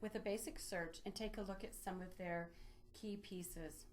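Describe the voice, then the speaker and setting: A woman presenting an instructional software walkthrough, explaining methodically step by step in a lightly improvised way. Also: mid-range voice, steady tone, moderate pace. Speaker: presenter of a library database tutorial.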